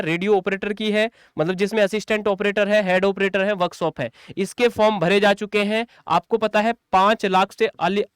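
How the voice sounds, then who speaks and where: A man's voice: high voice, clean tone, dry recording, clean studio sound. A man speaking as a coaching teacher, explaining an update to students in an emphatic, repetitive, direct way.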